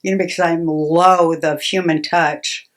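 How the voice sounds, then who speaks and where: An older woman, a podcast host, chatting in a blunt, deadpan way. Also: on the low side, unhurried. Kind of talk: casual chat.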